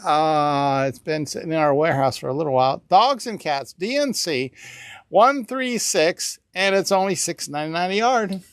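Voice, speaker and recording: A man's voice: fairly high pitch, expressive, normal speed, clean tone, no echo, studio quality. A man in his sixties co-hosting a fabric shop video, describing each bolt in a rambling, casual way with many fillers and upbeat price calls, recorded inside the store. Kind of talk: casual product showcase. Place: indoor fabric store among shelves. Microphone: small camera on table nearby.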